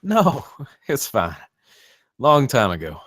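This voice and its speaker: An actor playing an embarrassed, low-key grown son on a date, understated and sheepish, in a script read. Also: male voice, wildly swooping pitch, slow pace, a bit bright, no echo, clean studio sound, heavily acted. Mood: wry, easygoing. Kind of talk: table read of a film script.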